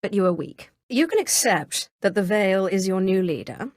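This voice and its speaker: Woman acting as ruthless Sith sorceress, video game villain, haughty, commanding, coolly menacing, mid-pitched, expressive intonation, dry close sound. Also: normal speed, no room sound, close to an everyday voice. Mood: cold, demanding. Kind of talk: scripted video game dialogue.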